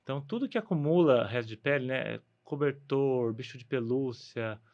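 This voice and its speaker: A man's voice: medium pitch, moderate pace, highs cut off. A man with a Brazilian Portuguese accent, explaining health advice conversationally as a guest doctor, often adding little tag questions. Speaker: guest doctor, ear, nose and throat specialist.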